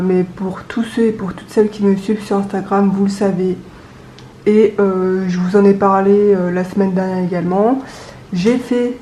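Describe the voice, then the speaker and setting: A young adult woman with a cold and a stuffy nose, chatting casually to camera, with many hesitant pauses. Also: mid-range voice, mellow, reverberant, a little hiss or hum. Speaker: budgeting vlogger.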